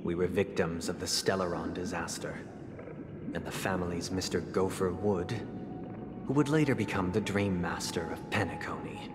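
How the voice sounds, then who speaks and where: An actor playing a solemn, courteous man telling a story in a measured, formal way in a game cutscene. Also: low voice, close to an everyday voice. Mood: solemn, reflective. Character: solemn, courteous young man philosophically posing a moral dilemma. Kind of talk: dramatic monologue in a video game cutscene. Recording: long reverb, loud music behind.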